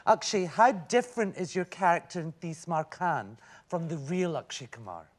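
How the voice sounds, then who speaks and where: A man's voice: on the high side, very animated, normal speed. A man co-hosting a live interview and calling on audience members for questions. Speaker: co-host. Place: radio theatre stage before an audience.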